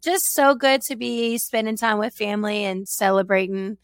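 A woman co-hosting a podcast in casual chat; she is hoarse after losing her voice and talks in a chatty, enthusiastic way. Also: mid-range voice, normal speed, no echo, studio quality. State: hoarse, has lost her voice. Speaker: podcast producer and co-host.

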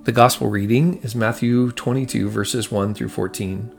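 A man's voice: mid-range voice, steady tone, moderate pace, creaky, soft background music. A man reading a gospel passage aloud from a script as a scripture reader.

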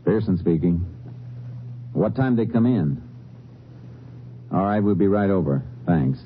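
An actor playing a calm, matter-of-fact Texas Ranger in a 1950s radio drama, questioning people in clipped, deadpan phrases. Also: male voice, deep voice, measured pace, slight background noise. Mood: measured, probing. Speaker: lead actor and narrator. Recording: muffled vintage radio recording with music.

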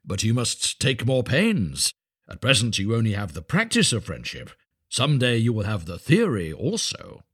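A man's voice: fairly low pitch, huge pitch swings, normal speed, a bit bright, husky, full character voice. A man voicing a pompous, self-serving miller in a storybook, preaching emphatically with false friendliness. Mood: smug, preachy. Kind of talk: storybook character voice.

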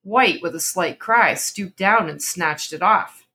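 A woman with a bright, slightly low voice narrates in a dramatic reading style. She delivers scripted horror fiction as a storyteller would, line by line to the listener. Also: takes it slowly, a little hiss or hum. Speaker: podcast host reading a story aloud.

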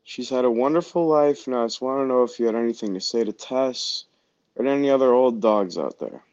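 A man's voice: medium pitch, a little fast, a little mellow, smooth, dull audio. A man calling into a live show, earnest and good-natured. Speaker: caller. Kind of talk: casual chat.

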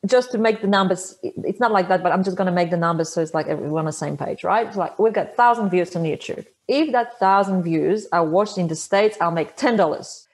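A woman with a Polish accent talks in a fairly low voice, chatty and quick to laugh. She is a native Polish speaker in her thirties, answering an interviewer's questions in a relaxed, casual way with plenty of restarts. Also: poor audio. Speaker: interview guest, motorcycle traveler.

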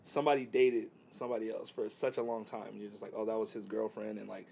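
Laid-back gravelly man in his thirties. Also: fairly low pitch, little pitch movement, fast, warm tone, slight background noise. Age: 30s. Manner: laid-back, rambling with many "like" fillers. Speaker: guest. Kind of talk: casual dating chat.

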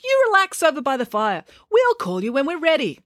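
Female villain for a children's audiobook, played by a woman: curt, bossy, menacing, pitched up. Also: very animated, fairly quick, fairly bright tone, no echo, studio quality. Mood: falsely reassuring. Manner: snapping orders.

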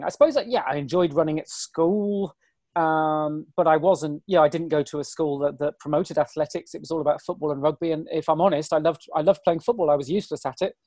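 A man in his forties, a guest on an interview, talking in a chatty, self-deprecating, rambling way with a hoarse, weary voice. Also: on the high side, darkish tone, no room sound, studio quality. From Britain. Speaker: guest, ultrarunner. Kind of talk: casual interview chat.

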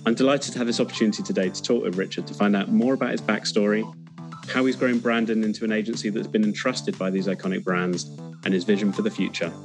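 Casting: male podcast host, low register, interview delivery, light music bed. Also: fairly even intonation, quick pace. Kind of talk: scripted introduction and conversational interviewing.

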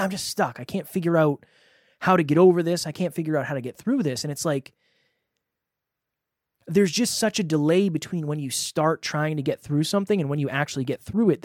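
30s guy on a podcast, kinda higher voice, dry close sound, candid. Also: dry recording. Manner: candid, self-deprecating. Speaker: podcast co-host. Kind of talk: casual podcast chat.

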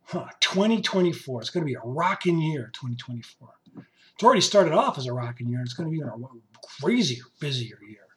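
A man hosting his podcast in casual monologue, his voice hoarse and raspy, rambling and joking as he talks to his musician. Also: fairly high pitch, very animated, a little fast, fairly bright tone. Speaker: podcast host. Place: basement home studio.

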